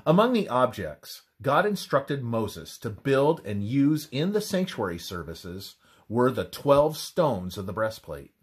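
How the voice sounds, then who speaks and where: A man reads a scripted religious text aloud in a measured, deliberate manner, pausing between phrases. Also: mid-range voice, sing-song, husky. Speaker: reader of a religious presentation. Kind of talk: scripted devotional narration, read aloud.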